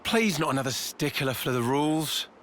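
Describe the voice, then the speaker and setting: A cheeky officer, lively pitch. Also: male voice, moderate pace, slight background noise. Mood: wry. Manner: casual, bantering. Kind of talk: scripted video game voice acting.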